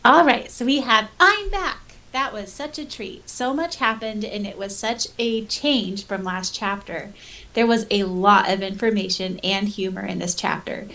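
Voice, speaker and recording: A woman's voice: medium pitch, a little slow, pushed and bright. A woman co-hosting a casual fan podcast, chatting and reading listener comments aloud. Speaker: podcast co-host.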